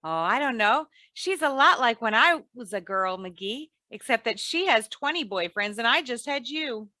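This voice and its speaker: An actress playing a shrewd, affectionate, long-suffering wife with dry, teasing wit in a 1940s-style radio comedy. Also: female voice, a little high, animated, moderate pace, pushed and bright, dry recording. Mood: wry, fond. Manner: dry, teasing comebacks with homely endearments.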